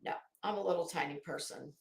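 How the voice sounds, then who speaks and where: A middle-aged woman hosting a casual livestream, chatting to viewers in a rambling, expressive way with self-deprecating asides. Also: fairly low pitch, a little fast, vocal fry. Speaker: livestream host. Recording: roomy, echoey indoor sound.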